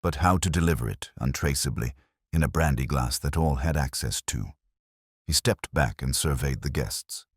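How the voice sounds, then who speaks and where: A man's voice: very deep voice, animated, moderate pace, breathy edge, dry recording, clean studio sound. A man reading scripted mystery fiction as a dramatic audiobook narrator.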